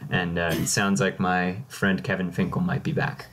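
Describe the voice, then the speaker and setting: Low-voiced man commentating a card game. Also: little pitch movement, normal speed, noisy background. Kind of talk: casual live play-by-play commentary.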